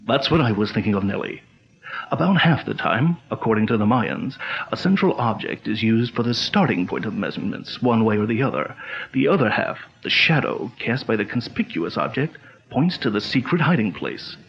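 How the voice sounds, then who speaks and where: An actor playing a steady, commanding male adventurer leading an expedition, in a measured 1940s radio drama style. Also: fairly low pitch, gravelly. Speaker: expedition leader. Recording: dull lo-fi old radio sound.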